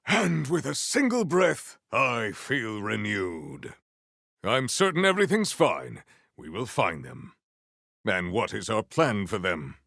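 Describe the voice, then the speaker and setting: An actor playing a proud, formal, honor-bound warrior in a video game, with archaic, theatrical diction. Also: male voice, fairly low pitch, sing-song, slow pace, creaky, a bit bright, no room sound, studio quality, full character voice. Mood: solemn, measured.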